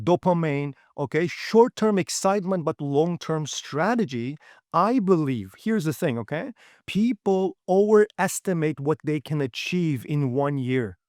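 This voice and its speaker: Man, English, motivational presenter, blunt, slightly high voice, dry close sound. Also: no echo. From Uyghur region. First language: Uyghur. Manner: direct, frequent "okay?" and "right?" checks, non-native grammar. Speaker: seminar presenter. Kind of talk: motivational talk.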